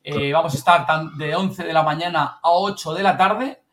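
Higher-voiced Spanish man hosting a chat. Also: normal speed, fairly bright tone. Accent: Spain. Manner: chatty, informal. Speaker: podcast host. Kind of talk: casual podcast chat.

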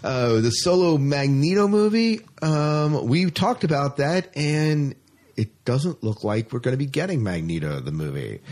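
A man's voice: on the high side, animated, unhurried, darkish tone. A man hosting a podcast, talking casually and chattily about sci-fi news with an enthusiastic, informal manner. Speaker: podcast host.

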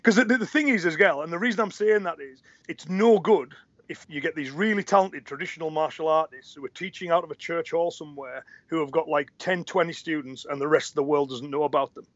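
A man's voice: on the high side, brisk, a bit bright, dry recording. A man talks as an interview guest, earnest and encouraging, often repeating words as he thinks. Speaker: interview guest, martial arts teacher. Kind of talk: casual conversation. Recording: dry, muffled audio.

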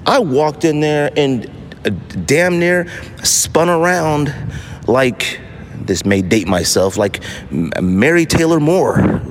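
A middle-aged American man hosting a casual solo podcast, telling stories in an animated, slangy, emphatic way. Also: on the high side, slow pace, a little hiss or hum. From United States. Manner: animated, slangy storytelling with mock voices for others; emphatic, with repetition for effect. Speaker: podcast host.

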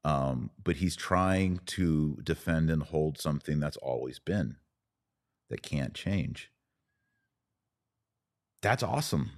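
A man's voice: extremely low pitch, lively intonation, takes it slowly, long pauses. A man in a casual podcast conversation, hosting and talking in a reflective, anecdotal way. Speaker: podcast host.

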